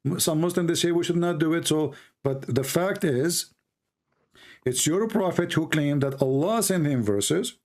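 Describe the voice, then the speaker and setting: Male debate host, slightly high voice, relentless questioner. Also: measured pace, darkish tone. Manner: pressing, repetitive questioning. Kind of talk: live call-in debate.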